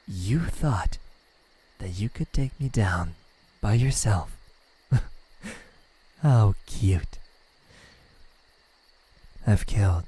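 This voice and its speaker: A man playing a smug, teasing demon in an ASMR roleplay, taunting softly with drawn-out pauses and a breathy, husky voice. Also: fairly low pitch, full character voice, poor audio. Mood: mocking amusement. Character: smug, teasing male demon toying with a captive. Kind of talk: ASMR roleplay, slow intimate villain acting.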